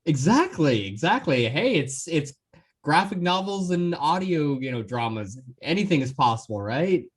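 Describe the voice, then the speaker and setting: A man's voice: a little high, big pitch swings, normal speed, slightly rough. A man hosting a podcast, chatting casually and enthusiastically as he interviews his guests. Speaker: podcast host.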